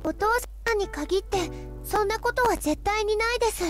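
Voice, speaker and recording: An actress playing an earnest, timid young daughter in a visual novel, soft-spoken, sweet and polite. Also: female voice, extremely high pitch, very fast. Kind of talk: Japanese visual novel voice acting. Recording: game audio with clear background music. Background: music.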